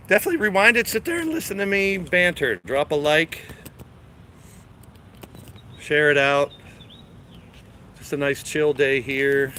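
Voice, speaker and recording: A man's voice: on the high side, pushed and bright, a little hiss or hum. A man hosting a casual live stream outdoors in his backyard garden, stuffed up with a cold, rambling to his chat as he walks with his phone. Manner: rambling, laid-back, talks to chat while walking. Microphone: phone camera held while walking.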